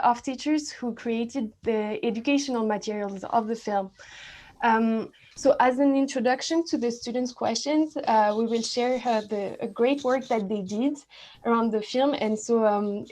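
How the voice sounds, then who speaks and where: Female moderator with French accent, fairly high pitch, courteous and halting, running an online discussion. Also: a little mellow. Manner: polite, hesitant, halting.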